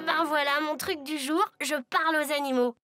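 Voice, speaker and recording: A woman's voice: high-pitched, fairly even intonation, moderate pace, sharp tone, faint music behind. A woman voicing a cheerful, wry schoolboy hero in a French children's cartoon, casual and boyish. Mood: puzzled, upbeat.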